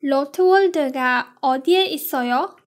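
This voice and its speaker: Very slow high-voiced woman reader. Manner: slow, evenly spaced delivery. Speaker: lesson reader. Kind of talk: slow reading of phrases and translations.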